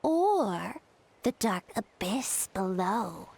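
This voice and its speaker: A woman's voice: medium pitch, big pitch swings, drawn-out words, creaky, darkish tone, slightly rough, no echo, full character voice. A woman voicing a smug, condescending know-it-all mushroom creature in a video game, speaking like a pompous oracle. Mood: smug, plotting. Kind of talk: video game character acting. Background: game music.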